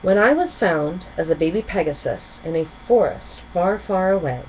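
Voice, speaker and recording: A woman voicing a gentle, confident and reassuring pony princess in a children's storybook reading. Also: on the low side, expressive, normal speed, highs cut off, a little hiss or hum, lightly acted. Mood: kind, reassuring.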